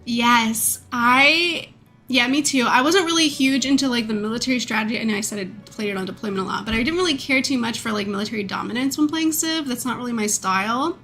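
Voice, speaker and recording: A woman's voice: on the high side, fairly quick, fairly bright tone, soft background music. Chatty stuffed-up woman streamer, nasal. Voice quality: a bit croaky. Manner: chatty and rambling, many fillers and laughs. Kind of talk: casual chat with viewers.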